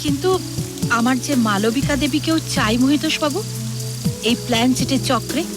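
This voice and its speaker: An actress as a composed, high-toned spirit medium. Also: female voice, normal speed, over music, close to an everyday voice. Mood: composed, determined. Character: self-assured woman spirit medium, composed and polite. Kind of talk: Bengali audio drama acting.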